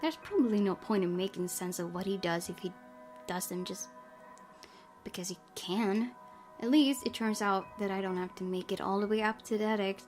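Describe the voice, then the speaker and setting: A woman's voice: medium pitch, moderate pace, a little mellow. A woman game streamer reading a visual novel aloud with casual commentary. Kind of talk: let's play narration with casual commentary. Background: faint music.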